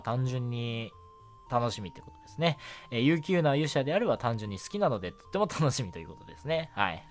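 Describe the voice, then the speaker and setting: A man hosting a casual solo radio show, chatting politely with many small filler particles. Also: medium pitch. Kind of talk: casual solo radio talk about anime. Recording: music bed under the voice. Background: background music.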